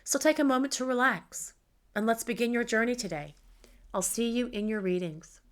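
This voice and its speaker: Calm middle-aged woman reading tarot. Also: fairly high pitch. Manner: calm, measured, gently repetitive. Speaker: tarot reader. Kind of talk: pick-a-card tarot reading.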